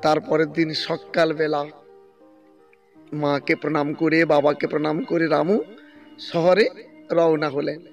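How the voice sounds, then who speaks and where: Male storyteller, high-pitched voice, narration recorded slightly off-mic with music underneath. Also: level tone, slow, pauses often, distant mic.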